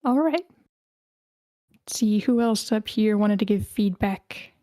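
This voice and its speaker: A woman's voice: mid-range voice, takes it slowly, warm tone, dry recording, clean studio sound. A woman casually moderating a group feedback session as host.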